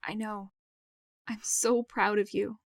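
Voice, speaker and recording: Woman acting composed, even-toned female guardian. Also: mid-range voice, slow, no echo, studio quality. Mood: tender. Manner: soothing, instructive, composed. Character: calm, steadying female guardian, firm but tender. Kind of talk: audio drama acting.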